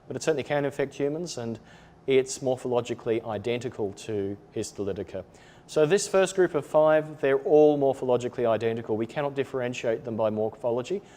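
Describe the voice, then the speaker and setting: A man's voice: medium pitch, warm tone. Australian man giving a lab webinar. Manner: explanatory, measured, with occasional ums. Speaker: lab trainer presenting a webinar. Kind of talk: webinar lecture.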